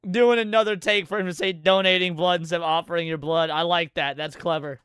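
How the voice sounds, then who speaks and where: A man's voice: high-pitched, fairly even intonation, fairly quick, fairly bright tone, clear, resonant tone, dry recording, clean studio sound. A man hosting a livestream, giving casual, profane reaction commentary with muttered asides and some reading aloud. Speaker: livestreamer host. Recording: music behind the voice.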